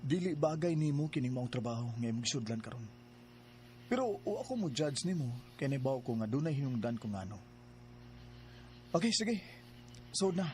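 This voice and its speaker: A man's voice: mid-range voice, expressive, normal speed, pauses often, darkish tone, a little hiss or hum, close to an everyday voice. An actor playing an earnest young man in a radio drama, courting with a hesitant, pleading manner.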